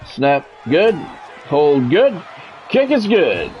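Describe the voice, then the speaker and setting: Male game streamer, lightly higher voice, polished clipped play-by-play with casual asides, music in the background. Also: big pitch swings, drawn-out words, dull audio. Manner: practised radio-style play-by-play, clipped phrases. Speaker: streamer doing play-by-play of a football video game. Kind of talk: sports commentary mixed with casual chat.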